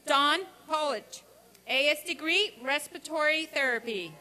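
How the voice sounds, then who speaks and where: A woman's voice: high-pitched, a little slow, sharp tone. A woman formally reading a roll of graduates as program director at a commencement ceremony. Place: outdoor college commencement ceremony.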